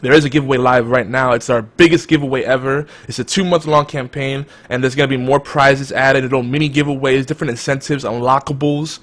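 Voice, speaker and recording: A man hosting a casual podcast chat, talking in a hyped-up, loud, energetic way. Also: mid-range voice, fairly quick, hardly any pauses. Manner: energetic, self-described loud and hype. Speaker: podcast host.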